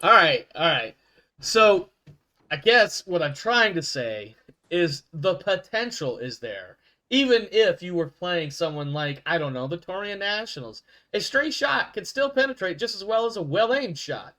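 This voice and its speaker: A man hosting an in-character sports league show, animated and quick to correct himself with asides. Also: sits high. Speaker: show host.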